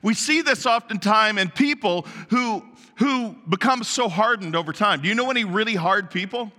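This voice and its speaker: A man preaching a sermon to his congregation, naturally loud and emphatic, often asking them questions. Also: high voice, normal speed. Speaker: pastor. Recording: long reverb of a large hall.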